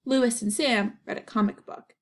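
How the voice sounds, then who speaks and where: A woman's voice: on the high side, steady tone, normal speed. A woman reading a children's story aloud as narration, with little asides to the listeners.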